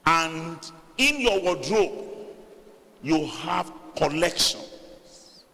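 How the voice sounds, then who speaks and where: A man's voice: animated, extremely slow, creaky. Nigerian Yoruba man, pastor, emphatic sermon delivery, echoing hall. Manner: emphatic, rising to loud stressed phrases, then dropping low. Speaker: preacher. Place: church hall. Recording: reverberant hall.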